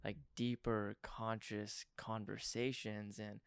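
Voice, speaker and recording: Hoarse, gravelly man hosting a podcast. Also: lowish voice, flat delivery, takes it slowly, dry recording, studio quality. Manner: earnest, effusive and spiritual. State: partly lost voice. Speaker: podcast host. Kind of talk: casual interview chat.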